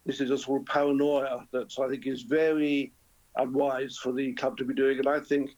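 A man's voice: medium pitch, level tone, normal speed, a little mellow, muffled recording. A man in his seventies, a guest in a live radio interview, speaking hesitantly with repeated words. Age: 70s. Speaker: interview guest, former football club chairman.

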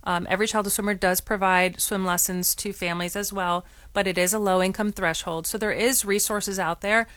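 A woman's voice: medium pitch, steady tone, a little fast, hardly any pauses, fairly bright tone, no room sound. A woman on a radio talk show, explaining things earnestly and restarting her sentences often. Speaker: guest from a swim lesson nonprofit. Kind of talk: radio call-in discussion.

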